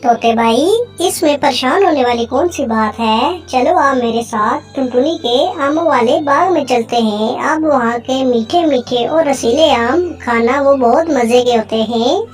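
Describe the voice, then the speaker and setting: Woman as a high-voiced, helpful little bird. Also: measured pace, soft background music. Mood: concerned, reassuring. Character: helpful, friendly little bird, reassuring friend. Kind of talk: Hindi cartoon moral story dialogue.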